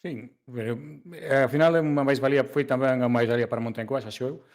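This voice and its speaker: A man from Galicia with a Galician accent, an event organizer talking casually, mixing Galician and Portuguese, laughing often and pausing on fillers. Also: medium pitch, fairly even intonation, a little mellow. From Galicia, Spain. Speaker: race organizer. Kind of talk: casual podcast chat.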